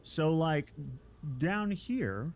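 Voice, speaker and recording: A man's voice: fairly high pitch, sing-song, drawn-out words, slight background noise. A man from Texas chatting casually on a live game stream, rambling and self-deprecating with frequent chuckles. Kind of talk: casual chat while gaming.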